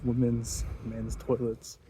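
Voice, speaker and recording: Man, unhurried travel-clip announcement, faint traffic behind. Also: medium pitch, monotone, dark tone.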